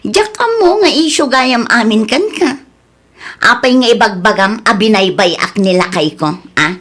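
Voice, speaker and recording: A woman's voice: a little high, lively intonation, moderate pace, a bit bright. A woman acting an indignant, scolding village woman in a radio comedy drama, full of exclamations.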